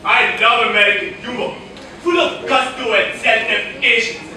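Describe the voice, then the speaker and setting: A man's voice: extremely high pitch, normal speed. A young man acting a genial, theatrical doctor with jovial patter in an amateur stage comedy. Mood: breezy, amused. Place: college auditorium stage, recorded from afar. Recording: echoey auditorium, distant mic, noisy.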